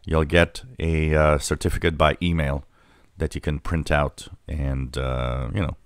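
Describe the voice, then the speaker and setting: Male hobby channel host, deep voice, informal and halting conversational delivery, dry sound. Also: little pitch movement, clean tone, no room sound. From Canada. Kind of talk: casual screen-share explainer.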